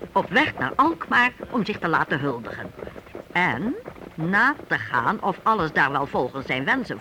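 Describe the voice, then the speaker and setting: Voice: mid-range voice, fairly bright tone, rough recording. An actor narrating a radio play for young listeners as a vivid medieval storyteller. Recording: old radio recording with faint noise.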